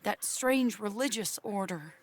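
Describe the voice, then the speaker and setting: A woman's voice: mid-range voice, lively intonation, measured pace. An actress playing a genteel, tender-hearted frontier lady with an American accent, refined and pleading in a video game drama. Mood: worried, pleading.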